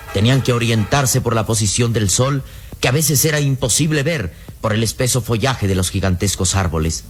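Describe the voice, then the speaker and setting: Faint music plays behind a man narrating a radio adventure serial. His mid-pitched voice is solemn and declamatory. He builds suspense as he reads.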